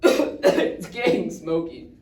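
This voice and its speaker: A man's voice: medium pitch, fairly bright tone, lightly acted. Man acting as a frightened, urgent father, roomy sound. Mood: strained, smoky. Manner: urgent, halting, emphatic. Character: frightened father trapped in disaster, trying to reassure. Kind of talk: dramatic monologue, acted phone messages. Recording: distant echoey room, faint noise.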